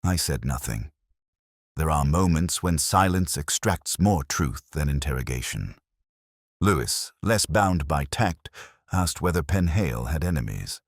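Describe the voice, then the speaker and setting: A man delivering scripted literary detective narration in a measured, wry, reflective and formal manner. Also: a bass voice, lively intonation, no echo, clean studio sound. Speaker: first-person narrator of a detective story.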